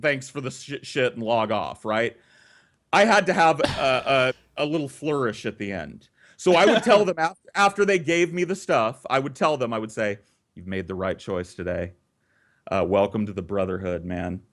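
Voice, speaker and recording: A man's voice: mid-range voice, normal speed. A man telling a story casually and comedically, swearing freely and acting out both sides of conversations. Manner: profane, animated re-enacting of conversations. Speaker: storyteller and host.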